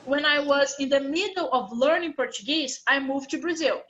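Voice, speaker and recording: A woman's voice: high-pitched, moderate pace, a bit bright, highs cut off. A woman teaching an English grammar class online, explaining patiently step by step.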